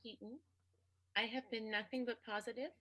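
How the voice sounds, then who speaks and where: Woman as an exasperated, high-voiced soap lover. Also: fairly even intonation, normal speed, sharp tone, slight background noise. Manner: stammering, emphatic, indignant. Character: exasperated, melodramatic soap-opera female lover. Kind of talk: improvised radio soap acting.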